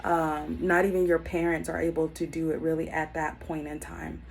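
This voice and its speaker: A woman in her mid-thirties speaks in a fairly low voice, earnest and reflective, with frequent ums and restarts. Faint noise sits behind her voice. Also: level tone, normal speed, creaky. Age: 30s. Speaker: YouTube self-help vlogger. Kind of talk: unscripted personal talk to camera.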